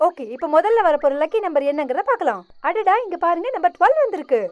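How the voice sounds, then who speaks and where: A woman's voice: very high-pitched, expressive, fast, dry recording, lo-fi sound. A woman voicing a perky female lottery announcer in a cartoon dub, with showy, bouncy delivery.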